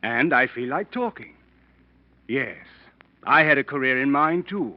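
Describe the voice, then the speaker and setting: An actor playing a smug, well-spoken blackmailer in an old-time radio drama, polite, mocking and quietly threatening. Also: male voice, medium pitch, lively intonation, a little slow, no room sound, natural voice. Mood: smug, self-satisfied. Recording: muffled old radio broadcast recording.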